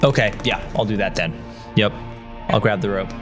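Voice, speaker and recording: A man with a low voice takes part in a fantasy tabletop game. He speaks casually in short, offhand phrases. Music plays clearly behind him. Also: normal speed. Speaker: player in a tabletop roleplaying game. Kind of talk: casual chat during game play. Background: cinematic score.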